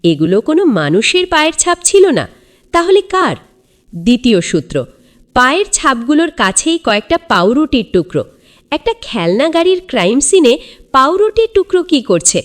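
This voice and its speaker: A woman storyteller reads a children's tale with dramatic suspense. Her voice sits fairly high and rises and falls widely, keeping the scripted narration lively. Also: moderate pace.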